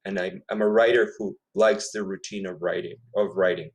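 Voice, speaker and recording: Middle-aged male author from Ecuador, native Spanish, lowish voice, musing and self-correcting in conversation. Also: fairly even intonation, moderate pace. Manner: thoughtful, self-correcting, repeats words. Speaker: author guest. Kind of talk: casual interview.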